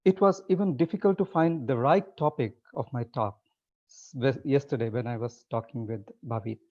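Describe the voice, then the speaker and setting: A man, giving a relaxed, conversational talk as a webinar guest speaker over a video call. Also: mid-range voice, normal speed. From Nepal. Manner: relaxed and conversational, with frequent restarts. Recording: slightly muffled webinar audio.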